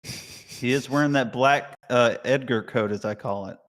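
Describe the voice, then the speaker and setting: A man's voice: mid-range voice, a little slow, a bit bright. A young man from Alabama with a light Southern accent, talking earnestly in a casual live chat, with plenty of "like" and "you know", and a voice that sounds older than his years. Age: young adult. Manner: earnest, filler-heavy rambling. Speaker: chat participant.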